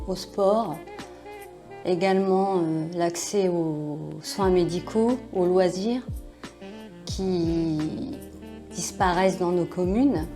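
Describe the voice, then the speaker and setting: A woman's voice: on the low side, mellow. A woman in her forties giving an articulate, composed interview testimony. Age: 40s.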